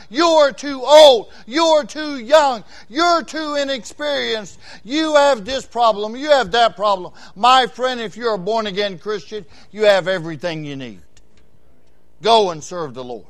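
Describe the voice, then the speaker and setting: A man in his sixties delivers a Baptist sermon in a notably high voice. He drops into quiet, conversational asides, then surges into loud, emphatic calls. His phrases pile up in rhythmic repetitions. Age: 60s. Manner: preaching with big swings between quiet asides and loud shouted emphasis; repeats phrases in rhythmic runs. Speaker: Baptist pastor.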